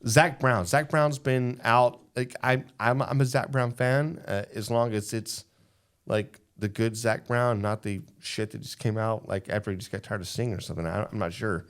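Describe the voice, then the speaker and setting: A man with a fairly low voice co-hosts a casual panel podcast. He talks in an emphatic, opinionated way, repeating phrases to press his point and often tagging on a "right?". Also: fairly even intonation, normal speed. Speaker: podcast co-host.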